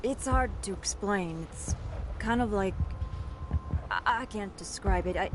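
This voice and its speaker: A woman's voice: mid-range voice, a little slow, noisy background, natural voice. An actress voicing a nervous young woman in a video game who stammers and dodges questions. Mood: evasive. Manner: hesitant, stammering, evasive.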